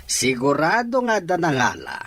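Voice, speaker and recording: A man playing a fretful older father in an audio drama, husky, with an Ilocano accent. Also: a little high, huge pitch swings, moderate pace, a bit bright, dry recording, heavily acted. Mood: agitated.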